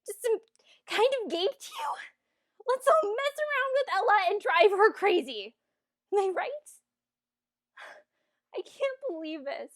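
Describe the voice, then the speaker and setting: A woman's voice: on the high side, measured pace, a little mellow, natural voice. A woman playing a spirited, outspoken young fairytale heroine in an audio drama. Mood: outraged.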